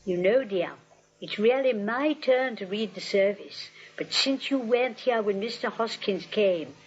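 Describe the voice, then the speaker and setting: A woman's voice: mid-range voice, unhurried, close to an everyday voice. A woman playing a genteel, matter-of-fact elderly aunt in a 1940s radio comedy. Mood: sweet, pleased. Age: older. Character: sweetly prim, firm old spinster aunt. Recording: dull old radio drama recording.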